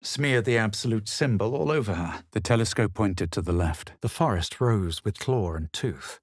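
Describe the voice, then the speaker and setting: Haughty low-voiced adventurer hero. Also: male voice, animated, no room sound, studio quality. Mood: brooding. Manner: superior, dramatic, measured. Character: proud, haughty male adventurer hero of a fantasy game. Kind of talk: fantasy video game voice lines, narration and incantations.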